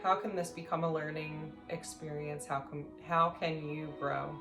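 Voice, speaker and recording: A woman leading a guided sleep meditation as an instructor, speaking gently in slow, measured phrases with long pauses. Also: low-pitched, little pitch movement. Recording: roomy echo, faint music behind.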